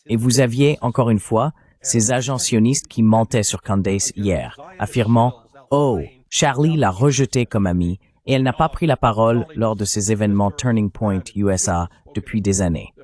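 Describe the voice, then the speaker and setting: A man's voice: lowish voice, darkish tone. A man reading a translated voice-over of a political discussion in a blunt, opinionated way.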